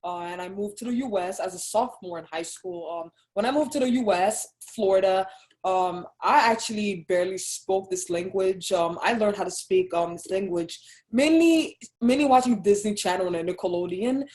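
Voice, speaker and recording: A young woman from Haiti with a Haitian accent, telling her own story as an interview guest in an earnest, soft-spoken way. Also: mid-range voice, normal speed. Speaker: interview guest, Army captain. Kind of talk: casual personal story.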